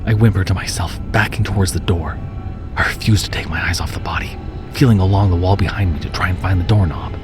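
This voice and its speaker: A man's voice: low voice, fast talker. A man reading a scripted first-person horror story in a theatrical, dramatic way. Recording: music bed under the voice. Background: background music.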